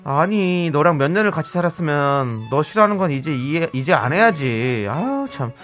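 A man's voice: fairly high pitch, animated, normal speed, fairly bright tone, faint music behind. A man playing a gossipy woman friend, easily offended and fed up, in a comic radio skit with sighing, dramatic delivery. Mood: gossipy sympathy.

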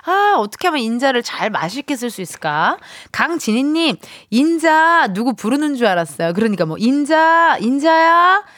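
A woman's voice: high voice, big pitch swings, normal speed, hardly any pauses, fairly bright tone, no echo, studio quality. A woman radio DJ chatting casually on air with a caller, giggling often and teasing with dialect fillers. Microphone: studio mic.

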